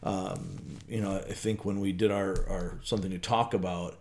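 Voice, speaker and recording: Low-voiced rambling man on a podcast. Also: fairly even intonation, moderate pace, creaky, darkish tone. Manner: rambling, restarts and thinks aloud. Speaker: podcast co-host. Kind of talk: casual faith podcast chat.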